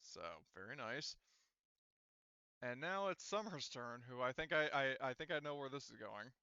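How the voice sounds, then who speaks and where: A man with a bright, forceful voice runs a tabletop roleplaying game. He talks casually and thinks aloud through rules and tallies. The sound is very dry and close, with a somewhat dull, band-limited top end. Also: medium pitch, expressive, normal speed, smooth, dry recording. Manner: thinks aloud while counting and working out rules.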